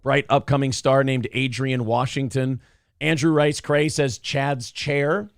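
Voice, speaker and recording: A man with a hoarse, raspy voice hosts a casual radio show, rambling through chat messages with restarts and swearing. His pitch sits in a middle range. The studio sound is dry and close. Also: flat delivery, measured pace, no room sound. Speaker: radio show host reading live chat.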